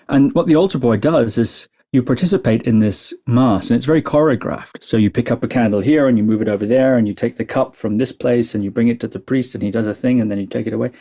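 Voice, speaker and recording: A man from the Shetland Islands, a meditation teacher telling his life story as a podcast guest, thoughtful and hesitant, with many pauses and fillers. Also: mid-range voice, mellow. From Scotland, Shetland Islands. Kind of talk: reflective interview storytelling.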